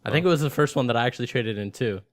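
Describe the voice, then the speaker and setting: A man's voice: medium pitch, expressive, racing, dry recording, clean studio sound. A man, co-hosting a casual gaming podcast, joking and swearing. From Ontario, Canada. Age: 20s. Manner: joking, swears, calls people buddy. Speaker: podcast co-host.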